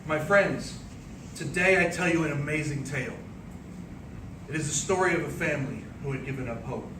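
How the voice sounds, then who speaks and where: A man delivering a theatrical speech as an epic tale, with dramatic, declamatory and deliberate phrasing. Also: fairly high pitch. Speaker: speech contest speaker. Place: indoor room before an audience. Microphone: distant microphone. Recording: roomy, distant microphone, clearly noisy background.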